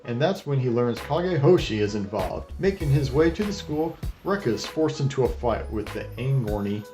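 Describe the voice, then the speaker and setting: A man's voice: medium pitch, big pitch swings, normal speed, warm tone, soft background music. A man hosting a video review, narrating with wry, sardonic commentary and crude joking asides. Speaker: host of an anime retrospective review.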